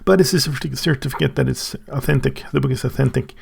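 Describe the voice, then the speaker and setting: Gravelly man with a Swedish first language. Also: mid-range voice, a little hiss or hum. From Sweden. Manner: halting, thinking aloud with many restarts. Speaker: channel host. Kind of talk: casual unboxing review.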